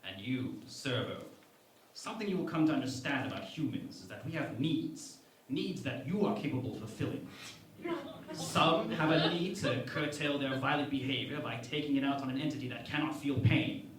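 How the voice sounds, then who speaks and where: An actor playing a pompous, lecherous starship captain in a parody radio play, with a theatrical, grandiose delivery. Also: male voice, medium pitch, normal speed, far from the mic, lightly acted. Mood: commanding. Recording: echoey room, off-mic, background noise.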